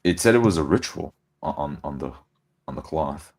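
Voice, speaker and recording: Male roleplay player, extremely deep voice, halting starts, narrates his own actions. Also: lively intonation, vocal fry, slightly rough. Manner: halting, repeats words.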